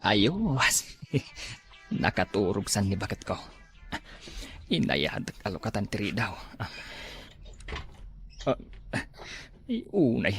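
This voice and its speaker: Man playing animated, whiny Ilocano henpecked husband. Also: fairly low pitch, takes it slowly, gravelly, long pauses, faint music behind, full character voice. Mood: sneaky, muttering. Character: henpecked, whining village husband itching to go drinking. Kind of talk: Ilocano comedy drama acting.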